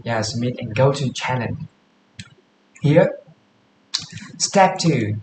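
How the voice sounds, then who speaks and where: A man from Vietnam with a Vietnamese accent, live streaming as a coding beginner and reading course text aloud in halting English. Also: mid-range voice, expressive, darkish tone, echoey room, a little hiss or hum. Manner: halting, often stops to read and correct himself.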